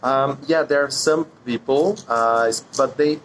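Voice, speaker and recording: A man's voice: medium pitch, measured pace, a bit bright, poor audio. Man, Brazilian accent, Portuguese native, hesitant, thoughtful, traffic noise behind. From São Paulo, Brazil. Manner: thoughtful, hesitant with frequent ums. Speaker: interviewee. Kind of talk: street interview.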